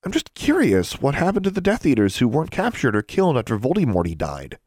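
A man voicing a stubborn, excitable schoolgirl with an insistent, dramatic way of talking, in an audiobook. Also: medium pitch, fast, slightly rough, no room sound, studio quality, voice pushed up. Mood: curious, eager.

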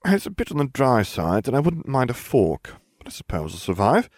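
Man playing a cocky, animated schoolboy. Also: medium pitch, moderate pace, no echo, clean studio sound, voice pushed up. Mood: smug. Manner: pompous, glib. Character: cocky, self-righteous schoolboy, indignant and oblivious.

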